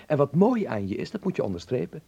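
An actor playing an eager, bossy young son who dreams of films, in a Dutch radio play, with a husky, creaky voice and an instructing, fervent manner. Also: male voice, a little high, sing-song, fast talker, warm tone, highs cut off, heavily acted. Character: eager, bossy young film-mad son, stage-struck.

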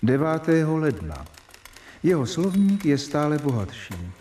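An actor playing a young doctor who reads his research diary aloud in a measured, earnest way in a radio drama. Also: on the high side, very animated, warm tone. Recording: distant mic with faint hiss.

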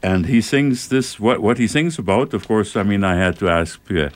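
A man co-hosting a radio show, explaining and translating for listeners in a halting way with many uhs. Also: fairly low pitch.

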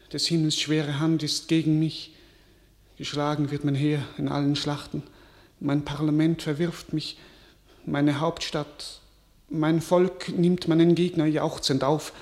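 A defeated, weary young king in a classical radio drama. He declaims very slowly and solemnly in a fairly high male voice, resigned and despairing. The room echoes around him. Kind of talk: classical German stage verse radio drama.

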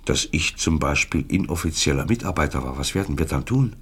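Man voicing a patient, admonishing pastor, husky. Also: very deep voice, animated, brisk, full character voice. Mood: frank, challenging. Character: gentle, admonishing male Protestant pastor, as retold. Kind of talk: quoted figure in radio play.

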